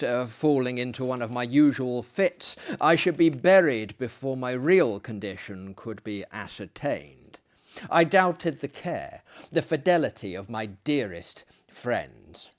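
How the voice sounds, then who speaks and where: A man giving a theatrical, hammy dramatic reading of a gothic horror story, deliberate and swinging between hushed and loud delivery. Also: medium pitch. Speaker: dramatic reader, performer.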